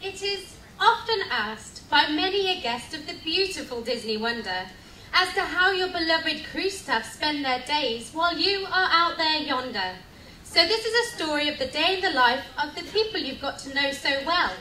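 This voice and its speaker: A woman narrating a live radio play from a script in a theatrical, deliberate style. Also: high-pitched, expressive, bright, forceful tone. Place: live stage reading on cruise ship. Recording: echoey, distant mic, noisy crowd.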